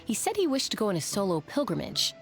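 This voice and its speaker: Composed, lilting innkeeper. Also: female voice, mid-range voice, huge pitch swings, fast talker, creaky. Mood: polite. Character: composed, polite female inn keeper. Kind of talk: video game character dialogue. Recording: game music behind the voice.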